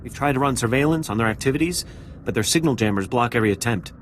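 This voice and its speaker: An actor playing a nervous, jumpy security officer in a video game, with an anxious, hesitant way of talking. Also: male voice, medium pitch, animated, fast talker, a little hiss or hum, close to an everyday voice. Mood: earnest, uneasy. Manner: hesitant, anxious, hushed urgency. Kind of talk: video game character acting.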